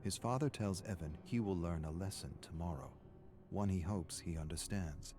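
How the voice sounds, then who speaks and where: An actor playing a grim storyteller, reading a dark family tale for a video game in a solemn, deliberate, menacing way. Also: male voice, low-pitched, music in the background, natural voice. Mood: somber. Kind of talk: dramatic scripted third-person narration.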